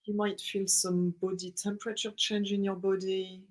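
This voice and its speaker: A breathwork facilitator guiding a client through a breathing session with gentle instructions and spoken affirmations. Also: medium pitch, flat delivery. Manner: slow, gentle, soothing instructions.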